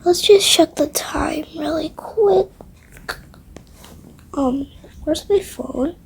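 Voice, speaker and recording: A woman's voice: high voice, very slow, gravelly. A female video creator improvising a roleplay aloud, often dropping into hesitant, half-whispered asides to herself. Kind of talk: improvised game roleplay narration. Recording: faint background noise.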